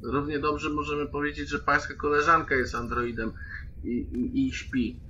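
A man acts out a wily, complaining teenage boy in a roleplaying game. The voice is sharp and bright, polite yet argumentative. The sound is narrow-band with some background noise. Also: a little high, normal speed. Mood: needling. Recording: band-limited online call with steady noise.

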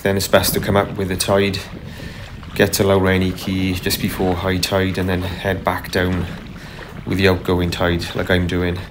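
A man narrating casually as he paddles a kayak on a river, unhurried, with frequent ums and uhs. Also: deep voice, background noise. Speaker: kayaker vlogger. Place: kayak on a tidal river outdoors. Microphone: camera mounted on the kayak.

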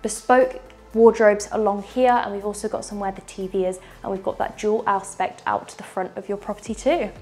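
A woman's voice: mid-range voice, steady tone, a little fast, a little mellow, faint music behind. Upbeat woman touring a house. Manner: enthusiastic, walking and gesturing while talking. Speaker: property tour presenter. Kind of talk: upbeat house tour narration. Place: large furnished house indoors.

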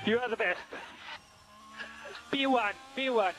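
A man's voice: extremely high pitch, slow, a bit bright. A man working as a race engineer, giving terse callouts to a driver over team radio. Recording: noisy motor racing team radio. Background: vehicle and engine noise.

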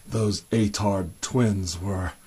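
A man's voice: sits low, fairly even intonation, drawn-out words, warm tone, slightly rough, full character voice. A man playing a chummy, genteel American spy gadget supplier disguised with a put-on British accent, reminiscing in old-fashioned phrases in an improvised roleplay. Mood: fond, nostalgic. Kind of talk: improvised one-sided spy roleplay, addressed to the listener.

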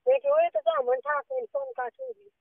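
A woman's voice: very high-pitched, steady tone, fairly quick, creaky, no room sound. A woman with a Rajasthani accent giving brief, terse replies in a casual phone conversation. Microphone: telephone. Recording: tinny, narrow phone call recording.

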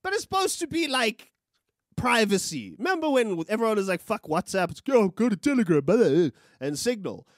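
A young man with a South African accent talks fast and heated about the news. His voice sits high and leaps around a lot. He curses freely, and the studio sound is close and dry. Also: no echo. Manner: animated, profane, gesturing with his hands. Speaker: podcast co-host. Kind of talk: opinionated news commentary. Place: small studio room. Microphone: studio mic on a boom, with headphones.

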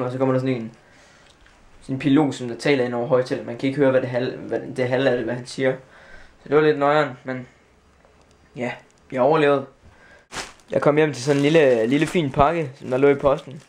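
A teenage boy from Denmark vlogging casually to a handheld camera, rambling with lots of fillers and restarts. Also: mid-range voice. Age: teens. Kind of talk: casual vlog chat. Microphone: handheld camera at arm's length. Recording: loud background noise.

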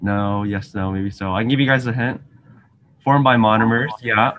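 A man's voice: lowish voice, fairly even intonation, normal speed, lo-fi sound. A young man from Singapore, a graduate student, gives a casual online science lesson full of ums and questions to his students. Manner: friendly, chatty, frequent ums, asks students questions. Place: lab office with shelves, indoors. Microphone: video call webcam.

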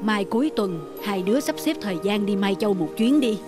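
A woman voicing a gentle, wise elderly mother in a cartoon, speaking softly and steadily. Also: medium pitch, animated, a little fast, close to an everyday voice. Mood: kindly. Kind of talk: cartoon voice acting. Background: music.

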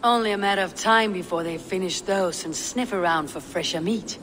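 A woman playing a stern, composed Viking warrior leader in a video game, speaking in a measured, firm way. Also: mid-range voice, fairly bright tone, a little hiss or hum, lightly acted. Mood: coolly menacing. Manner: measured, firm, coolly menacing. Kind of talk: video game dramatic acting.

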